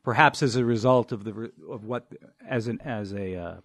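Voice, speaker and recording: Man, casual chat, halting, hesitant, dry close mic. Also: on the low side, a bit bright, no room sound. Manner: halting, searching for words. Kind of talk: casual group discussion.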